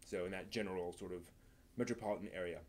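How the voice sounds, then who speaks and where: A man with an American accent hosting a casual live stream, answering viewer questions in a halting, rambling, think-aloud way. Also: low-pitched, fairly even intonation, slight background noise. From East Coast of the United States.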